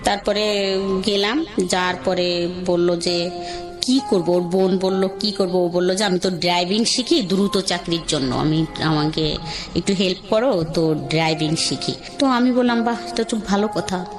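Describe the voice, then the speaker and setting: A woman's voice: lowish voice, moderate pace. A woman with a Bangladeshi accent telling her own life story in a conversational, rambling, colloquial way. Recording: music under the voice.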